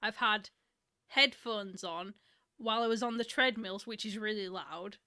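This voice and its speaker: A woman co-hosting a casual chat with her husband, quick and teasing, often laughing. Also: medium pitch, sharp tone, clear, resonant tone. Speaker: co-host, host's wife.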